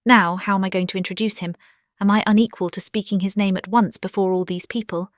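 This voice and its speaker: Actress as a spirited young lady, British accent, witty, self-assured, expressive, classic novel drama, dry studio sound. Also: medium pitch, a little fast, dry recording, natural voice. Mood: musing, resolute. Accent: British English.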